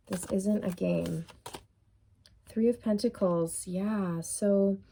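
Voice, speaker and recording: Husky, dark-voiced woman reading tarot. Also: mid-range voice. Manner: musing, unhurried, many fillers. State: losing her voice. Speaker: tarot reader. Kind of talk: casual tarot reading to camera.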